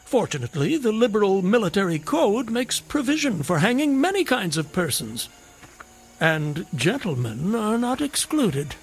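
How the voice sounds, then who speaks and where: An actor playing a wry, courteous, needling gentleman in a radio drama, with ornate and theatrical phrasing. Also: high voice, sing-song, moderate pace, soft background music. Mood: wry, sardonic.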